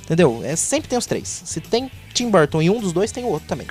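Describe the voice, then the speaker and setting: A man co-hosting a podcast, chatting casually and playfully about TV series with jokes and animated asides. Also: high voice, a little fast, faint music behind. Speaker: podcast co-host.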